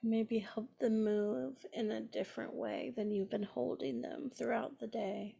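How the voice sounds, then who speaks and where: A woman leading a guided meditation in a gentle, soothing manner, pausing often between phrases. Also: a little high, fairly even intonation, gravelly, husky. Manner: gentle, unhurried, with long pauses between phrases.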